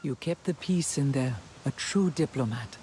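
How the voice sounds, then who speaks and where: An actor playing a calm, wise alien clan elder in a video game, measured and counselling. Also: mid-range voice, slight background noise, natural voice. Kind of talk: video game dialogue acting.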